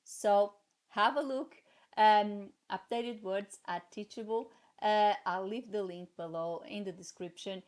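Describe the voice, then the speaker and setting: A woman with a Portuguese accent, a native Portuguese speaker, talking to her viewers in a casual vlog, halting with many fillers but passionate. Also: mid-range voice, a little mellow. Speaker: translator and vlogger.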